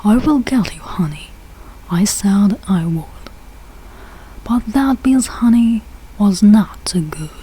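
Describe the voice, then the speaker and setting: A woman reading a rhyming children's storybook aloud in short, deliberate phrases with pauses. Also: mid-range voice, animated, mellow, husky, poor audio. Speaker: read-aloud reader learning English.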